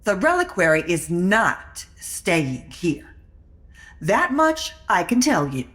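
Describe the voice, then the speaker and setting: An actress playing a righteous, defiant church agent turned thief in an audio drama, indignant and full of conviction. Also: female voice, lowish voice, very animated, takes it slowly, a bit bright, exaggerated voice. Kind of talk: supernatural comedy audio drama acting.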